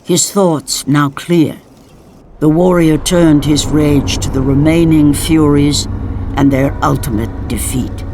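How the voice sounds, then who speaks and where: An actor as the storyteller of a mythic epic in a video game, narrating in a solemn, deliberate way. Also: mid-range voice, fairly even intonation, noisy background, lightly acted. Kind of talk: scripted dramatic narration. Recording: music under the voice.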